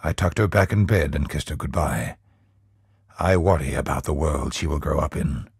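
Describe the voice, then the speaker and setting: An actor playing a brooding, tormented scholar of godlike power in a video game, speaking gravely and theatrically in measured phrases. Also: male voice, very deep voice, darkish tone, no room sound, clean studio sound, heavily acted. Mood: worried, somber. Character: brooding male scholar turned godlike, tormented cosmic villain. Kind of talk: dramatic scripted video game monologue.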